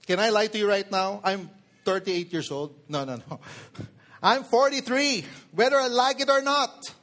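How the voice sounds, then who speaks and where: High-pitched Filipino man preaching emphatically. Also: animated, a little slow, a bit bright. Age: 40s. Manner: code-switches between English and Tagalog; emphatic, with rhetorical questions to listeners. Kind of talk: sermon.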